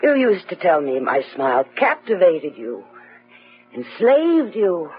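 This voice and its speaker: A woman playing a bitter, dying wife who taunts in a husky, drawn-out, theatrical gothic radio style. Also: fairly low pitch, big pitch swings. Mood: menacing, taunting. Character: bitter dying wife, venomous, haunting, mocking. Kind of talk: old-time gothic radio acting. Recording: dull vintage radio recording, music behind.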